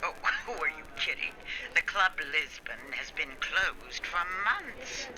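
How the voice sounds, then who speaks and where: A woman's voice: low-pitched, big pitch swings, slow, music in the background, heavily acted, low-quality recording. A woman playing an impatient, sarcastic New York girlfriend in a 1940s-style radio drama, sassy and exasperated.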